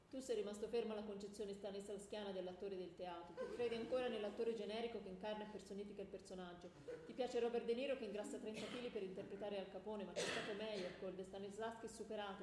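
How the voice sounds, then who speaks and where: A woman's voice: mid-range voice, steady tone, fast talker, natural voice. A woman playing a glib, theatrical Hephaestus in a radio stage comedy, wordy, declamatory and mocking. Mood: lecturing, scornful. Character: glib, theatrical player voicing Hephaestus, the axe-wielding smith god. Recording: echoey room, faint background noise.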